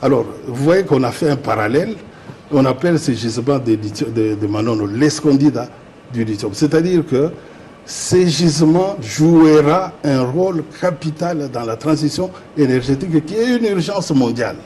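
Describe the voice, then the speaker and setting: A man's voice: a little high, lively intonation, normal speed, warm tone, slight background noise. A man, a metallurgist guest explaining emphatically in an interview, often repeating words as he searches. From Democratic Republic of the Congo. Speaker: guest, metallurgist and industrial chemist. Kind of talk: interview discussion.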